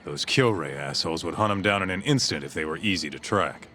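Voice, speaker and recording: A man's voice: deep voice, expressive, a little fast, pushed and bright, a little hiss or hum. An actor playing a brash, streetwise tough guy in a video game, blunt and colloquial. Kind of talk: video game cutscene acting.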